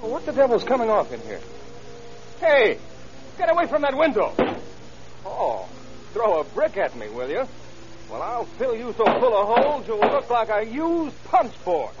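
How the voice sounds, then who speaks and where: A man's voice: very high-pitched, very animated, moderate pace. An actor playing a blustering, angry man roused from sleep in an old-time radio drama. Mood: outraged. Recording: noisy, dull old radio recording.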